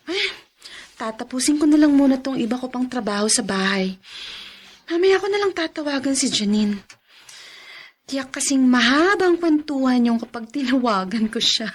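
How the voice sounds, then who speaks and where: A woman playing a chatty, busy housewife fussing to herself in a Filipino radio drama. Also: sits high.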